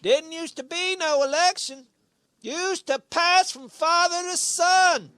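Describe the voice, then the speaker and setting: A man's voice: extremely high pitch, slow, sharp tone, dry recording, heavily acted. A man playing a cranky, stubborn old villager in a radio play, querulous and folksy. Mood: scornful. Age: seventies or older.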